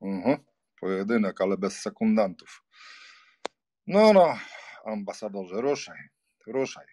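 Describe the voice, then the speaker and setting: A man's voice: on the low side, animated, slow, a little mellow. A man playing a nonchalant, teasing young baron, a jaunty friend, in an audiobook drama.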